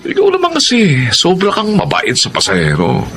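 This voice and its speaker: Sly, bragging Filipino driver buddy, hugely expressive radio-drama style, noisy setting. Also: male voice, high-pitched, normal speed, exaggerated voice. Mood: sly, goading. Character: boastful, jovial, sly fellow taxi driver. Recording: clear background noise and ambience.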